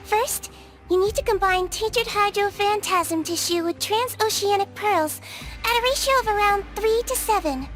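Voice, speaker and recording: A woman voicing a small, kindly non-human head nurse in a video game, high, sweet and gentle. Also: moderate pace, soft background music. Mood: bargaining. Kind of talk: video game cutscene dialogue.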